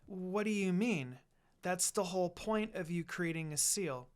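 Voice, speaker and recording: A reader playing a gentle, curious young girl ninja in a story reading. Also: medium pitch, animated, measured pace, close to an everyday voice.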